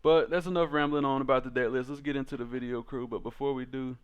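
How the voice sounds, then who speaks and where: A man hosts a card game video in a clean, smooth voice of average pitch. He talks viewers through in an informal, slangy way, like casual commentary among players. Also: steady tone, quick pace, dull audio. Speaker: card game content creator and host.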